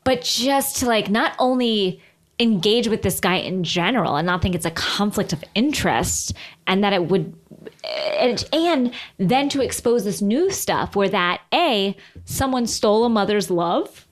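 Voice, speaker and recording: A woman's voice: mid-range voice, expressive, a little slow. A woman co-hosting a podcast in casual chat, recapping reality TV, often repeating and restarting her phrases as she goes. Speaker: podcast co-host.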